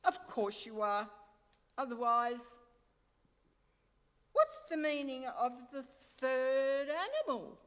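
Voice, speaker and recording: A woman's voice: sits high, very animated, slow, long pauses, a bit bright, heavily acted. A woman playing a haughty, indignant publisher's wife in a radio play, speaking imperiously. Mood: indignant, scornful. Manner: imperious, scandalized. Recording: echoey hall stage recording.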